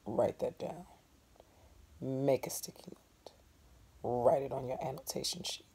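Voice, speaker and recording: Casting: woman teacher-narrator, hoarse and dark-toned, emphatic, deliberate delivery of a dramatic book reading. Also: extremely low pitch, in a whisper. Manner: deliberate, emphatic, pausing on key words. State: hoarse from laryngitis or a cold. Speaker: teacher reading a novel aloud.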